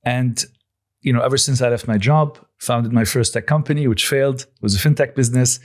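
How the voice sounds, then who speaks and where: A man in his forties who grew up in Lebanon speaks as a guest founder in a podcast interview. He answers in a steady, measured voice, pitched around the middle for a man, often pausing with "um" and starting his sentences over. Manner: measured, thoughtful, with frequent "um" and restarts. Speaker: guest, coffee company founder.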